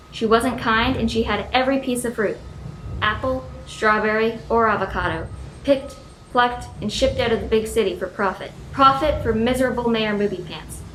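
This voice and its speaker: A woman's voice: fairly high pitch, moderate pace, fairly bright tone, slight background noise. A woman reading scripted storybook narration for a radio play. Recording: echoey room, music behind.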